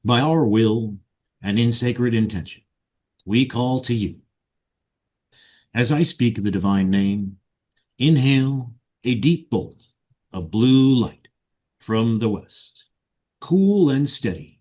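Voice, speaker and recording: A man leading a guided meditation and ceremonial invocation in solemn, deliberate short phrases. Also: medium pitch, expressive, darkish tone. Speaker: meditation guide and ritual leader.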